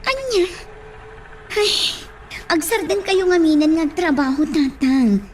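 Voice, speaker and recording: Very high-voiced scolding daughter. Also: female voice, animated, takes it slowly, a little hiss or hum, exaggerated voice. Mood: chiding, concerned. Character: concerned, scolding grown daughter. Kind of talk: Ilocano radio drama acting.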